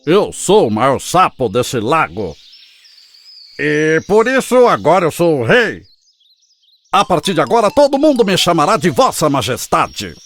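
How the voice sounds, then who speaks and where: Pompous, boastful cartoon frog played by an actor, swooping pitch. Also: big pitch swings, unhurried. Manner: pompous, commanding. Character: arrogant boastful bullfrog who wants to be king. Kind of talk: children's cartoon fable acting. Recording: music behind the voice. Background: music.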